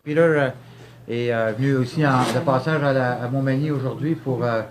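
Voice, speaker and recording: A man's voice: mid-range voice, steady tone, normal speed, a little hiss or hum. A man with a Quebec French accent introducing a guest, speaking loosely with many fillers. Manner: filler-heavy, loose phrasing.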